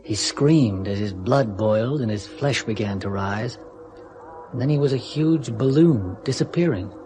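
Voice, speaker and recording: Actor playing a restrained, detached astronaut. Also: male voice, medium pitch, natural voice. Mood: recounting. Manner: restrained, emotionally guarded. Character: male astronaut, controlled and detached. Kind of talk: 1970s radio drama acting. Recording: noisy old radio recording.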